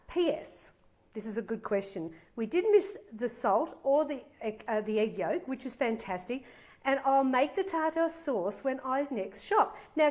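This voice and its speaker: A middle-aged woman hosting a live cooking demonstration from her kitchen, chatting bubbly and enthusiastic, a bit nasal from a head cold. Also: a little high. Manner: bubbly and enthusiastic, reading out viewer comments. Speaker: cooking show host. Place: home kitchen.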